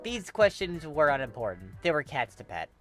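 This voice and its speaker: A man's voice: fairly high pitch, very animated, moderate pace. Man narrating a story over music. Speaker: fan fiction narrator. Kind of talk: scripted story narration, casually profane. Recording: music behind the voice. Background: clear music.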